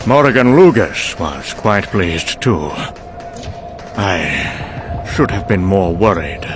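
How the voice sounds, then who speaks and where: Actor as animated mad scientist, noisy recording. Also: male voice, sits low, takes it slowly. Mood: smug, reflective. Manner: rambling, excitable, self-congratulating. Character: mad, vain, rambling British male scientist narrating doom. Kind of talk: dramatic motion-comic monologue and dialogue.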